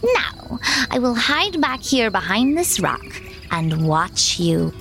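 A cocky storybook tomcat, acted out by a woman, commanding and sly. The voice sits fairly high and swoops widely, with slow, savored threats and music underneath. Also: sing-song, gravelly, fairly bright tone, exaggerated voice. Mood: commanding, threatening. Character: bold, boastful, wily cat in boots, male. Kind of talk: children's storybook character voice.

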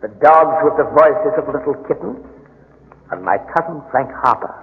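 Menacing mad scientist, old reverberant radio. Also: male voice, a little high, expressive, normal speed. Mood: gloating menace. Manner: theatrical, menacing, gloating, coos to pets. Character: mad misanthropic male scientist who dotes on animals. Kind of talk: 1940s radio acting. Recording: vintage radio, narrow band, long reverb.

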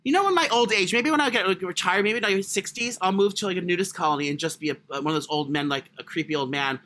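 A man with a high voice co-hosting a casual comedy podcast, crude and self-deprecating in his humour. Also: fast, pushed and bright, slight background noise. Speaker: podcast co-host. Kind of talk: casual comic chat.

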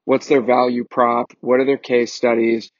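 A man delivering a casual, energetic promotional announcement for his show. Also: mid-range voice, moderate pace, no room sound, lo-fi sound.

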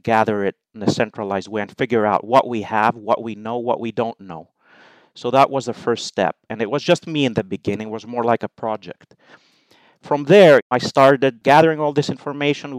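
Greek guy talking investing, smooth clear voice, dry close sound, orderly points. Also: medium pitch, no echo, rough recording. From Greece. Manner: measured, explains in numbered points. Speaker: investment allocator, guest. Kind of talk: interview answers.

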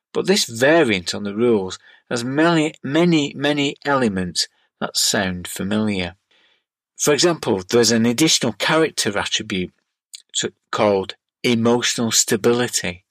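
A man's voice: on the low side, no room sound. Middle-aged man hosting a dry-sounding podcast. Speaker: podcast host. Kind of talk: scripted podcast narration, reading listener letters aloud.

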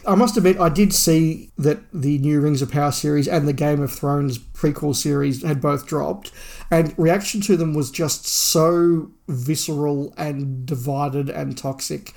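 A man co-hosting a fan podcast, chatting casually and reading listener email in a measured, conversational way. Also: fairly high pitch, gravelly, darkish tone. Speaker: podcast co-host.

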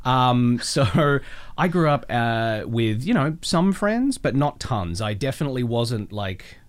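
An Australian man chatting casually as an interview guest, telling personal anecdotes in an animated, chatty way. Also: mid-range voice, unhurried. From Melbourne, Australia.

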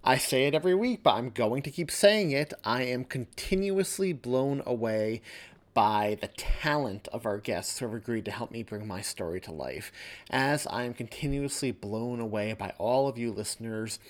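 A man's voice: mid-range voice, animated, normal speed. A man hosting a podcast, addressing listeners in an earnest, appreciative way. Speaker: podcast host and author.

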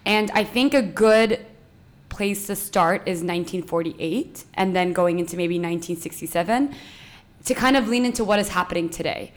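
A woman hosting a podcast, reading an earnest introduction and then interviewing two guests with measured questions. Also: on the low side. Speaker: podcast host.

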